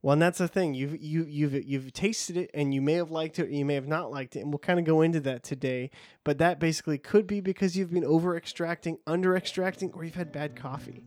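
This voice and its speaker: A man hosting a casual podcast, friendly and halting, with many restarts. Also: fairly high pitch, fairly even intonation, darkish tone, no echo. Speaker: podcast host.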